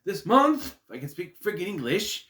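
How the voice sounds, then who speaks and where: A man hosting his own wrestling review vlog, rambling casually while getting over a cold, a bit nasal and clearing his throat. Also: moderate pace. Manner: chatty, self-interrupting, lively pitch swings. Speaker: host of a wrestling review channel. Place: his room at home. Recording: echoey room.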